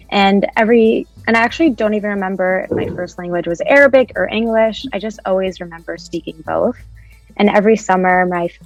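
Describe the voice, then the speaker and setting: A woman's voice: medium pitch, muffled recording. A woman in her thirties from New Jersey, telling personal stories as a panelist in an online discussion. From United States, New Jersey. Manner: personal storytelling, soft-spoken with frequent "like".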